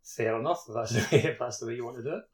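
A man's voice: mid-range voice, racing. A man on a podcast, chatting casually about a video game. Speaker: podcast participant.

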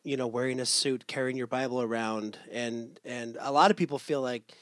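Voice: mid-range voice, normal speed, no echo, clean studio sound. A podcast co-host talking casually in a playful way and thanking the guest. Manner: animated, playful, fond of mimicking voices. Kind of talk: casual conversation.

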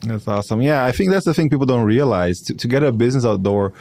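A man's voice: medium pitch, expressive, quick pace, darkish tone, no room sound. A man hosting a podcast interview, upbeat and friendly, often stumbling over his words. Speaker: podcast host.

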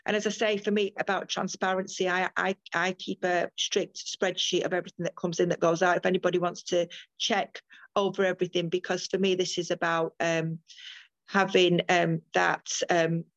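A woman's voice: lowish voice, little pitch movement, moderate pace, a bit bright, no echo, lo-fi sound. An older woman from the UK, a midwife, talking earnestly about her work as a guest in an interview, with frequent ums and restarts. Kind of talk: interview over a call.

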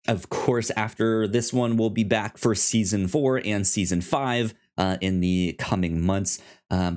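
A man hosting a casual podcast discussion, speaking in a halting way with repeated words. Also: fairly low pitch, darkish tone. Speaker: podcast host.

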